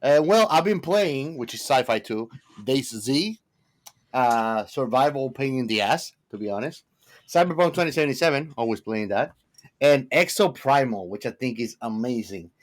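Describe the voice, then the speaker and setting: A man's voice: mid-range voice, lively intonation, normal speed. A man co-hosting a podcast, chatting casually in an informal, slangy way. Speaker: podcast co-host. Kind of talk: casual chat.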